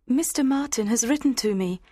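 High, breathy, shy young girl acted by a woman. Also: a little fast, warm tone, no echo. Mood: anxious, unsure. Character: shy, naive, anxious young girl, eager to please.

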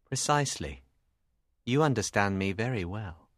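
An actor playing a cool young lawyer with quiet, smooth menace in a dramatised audiobook. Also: male voice, lowish voice, lively intonation, moderate pace, no echo, clean studio sound. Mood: smooth, knowing.

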